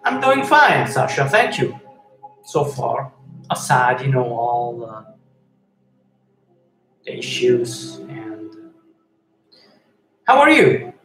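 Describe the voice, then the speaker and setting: A man from Switzerland, hosting a live-stream chat and reading viewer messages aloud, speaking in a halting way with many fillers and restarts. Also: medium pitch, wildly swooping pitch, over music. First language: Swiss German and Italian. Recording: echoey room with faint noise.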